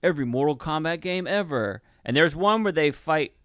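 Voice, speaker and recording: A man's voice: on the high side, expressive, moderate pace, fairly bright tone, no room sound, clean studio sound. A man hosting a movie podcast in casual chat, joking and swearing, with the odd mock-announcer flourish. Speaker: podcast host.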